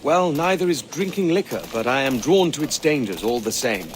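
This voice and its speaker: Man playing a roguish young pirate, wry, teasing, lively pitch, video game cutscene, faint ambience. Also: moderate pace, a little hiss or hum, natural voice. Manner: dry, teasing, casual delivery.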